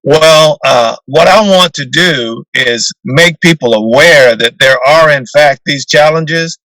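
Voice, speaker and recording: An older man with a deep voice, a retired naval officer answering interview questions in a measured way with fillers and restarts, over a video call. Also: bright, forceful tone, no echo, poor audio.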